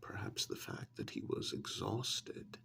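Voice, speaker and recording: A man tells a story in a soft-spoken ASMR role-play style, halting and deliberate, often slipping into a whisper close to the microphone. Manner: hushed, often dropping into whisper; halting, deliberate phrasing with long pauses.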